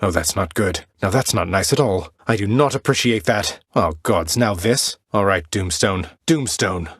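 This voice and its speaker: A young prince of the underworld from a video game, speaks in a low, closely recorded voice. He is courteous and wry, with cheeky understatement and steady determination. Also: normal speed, slightly rough, no echo. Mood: dry, cheeky. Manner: courteous, dry, understated wit. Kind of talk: video game character voice lines.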